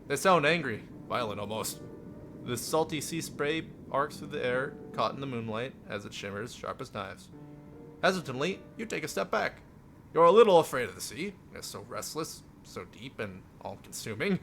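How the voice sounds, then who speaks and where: A man's voice: mid-range voice, very animated, normal speed, gravelly. A man live-streaming a visual novel, reading it aloud theatrically with playful asides. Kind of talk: dramatic read-aloud narration with joking asides. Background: music.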